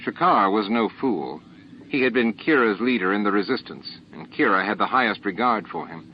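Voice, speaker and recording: A man's voice: fairly low pitch, lively intonation, moderate pace, slight background noise. A man reading a novel aloud as a scripted audiobook narration, on a dull lo-fi recording with music behind him. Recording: dull, lo-fi cassette-like sound. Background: background music.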